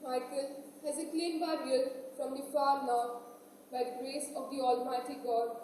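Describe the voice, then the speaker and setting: A woman's voice: high-pitched, level tone, measured pace, a little mellow. A woman on stage playing a grieving, resigned old mother, lamenting solemnly in a declamatory way. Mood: solemn prayer. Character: grieving old Irish mother, resigned after losing her sons. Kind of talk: amateur stage tragedy acting. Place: college stage performance in a hall. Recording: echoey hall, distant mic, faint noise.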